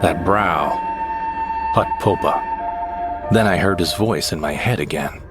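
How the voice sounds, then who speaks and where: A man narrates as a young sidekick in a sci-fi audio drama, his voice low and steady. He tells the tale in a descriptive first-person style while music plays beneath. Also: measured pace, exaggerated voice. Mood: narrating. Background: dramatic score.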